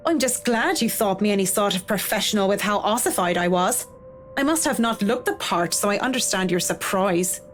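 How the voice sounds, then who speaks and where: A woman's voice: medium pitch, lively intonation, moderate pace, lightly acted. A woman playing a frank, practical young professional in a visual novel game, dry, blunt and informal, with music behind her. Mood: wry, polite.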